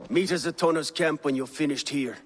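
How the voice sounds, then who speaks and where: An actor playing a middle-aged Saxon nobleman in a video game, measured and dryly witty. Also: on the high side, slight background noise, lightly acted. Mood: wry, patient. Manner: measured, wry. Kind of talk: video game cutscene acting. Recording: long hall reverb.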